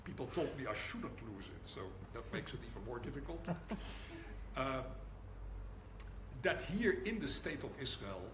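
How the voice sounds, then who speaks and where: Older male lecturer, Dutch accent, dry wit, measured delivery, roomy hall with background noise. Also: mid-range voice, very animated. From Netherlands. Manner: wry, deliberate, pauses for laughs. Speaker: rabbi giving a lecture. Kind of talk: public lecture. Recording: echoey hall, audible room noise.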